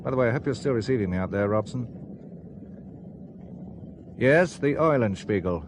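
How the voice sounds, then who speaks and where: A man acting a determined spy in a radio thriller. His mid-pitched voice is very animated, brisk and wary, with some faint noise around it. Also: lightly acted. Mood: tense, watchful. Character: alert, determined male spy, turning tough under pressure.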